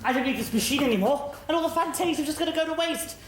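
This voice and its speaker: A woman playing an excitable, reformed villain in a stage pantomime. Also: sits high, very fast, a little hiss or hum. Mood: excited. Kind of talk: stage pantomime comedy acting. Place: theatre stage. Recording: roomy theatre recording, loud music.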